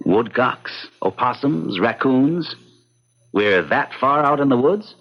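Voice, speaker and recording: An actor playing a practical gentleman troubled by sorrow in an old-time radio drama, with deliberate, theatrical, broken phrasing. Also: mid-range voice, animated. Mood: dismissive, amused. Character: practical gentleman newcomer, weighed down by unexplained sorrow. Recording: muffled, lo-fi old radio recording.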